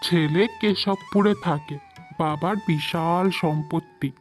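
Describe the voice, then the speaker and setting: A woman's voice: sits low, takes it slowly, soft background music. A woman voicing an eager, coaxing male village matchmaker in a moral-story cartoon.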